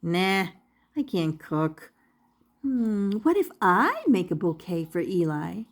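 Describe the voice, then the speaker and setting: A woman's voice: on the low side, very animated, slow pace, pitched below the performer's own voice, exaggerated voice. A woman voicing an earnest, wistful young male puppet character in a children's storybook, hesitant and unsure of himself. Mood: wistful, musing.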